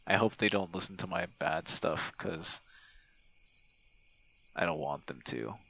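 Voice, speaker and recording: A man's voice: low voice, animated, measured pace, vocal fry, bright, forceful tone, slight background noise, low-quality recording. A man giving a casual, rambling monologue like a voice message to a friend, hesitant and meandering, with easy swearing. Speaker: online audio creator and game streamer. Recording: outdoor night sounds with crickets. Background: crickets and insect night sounds.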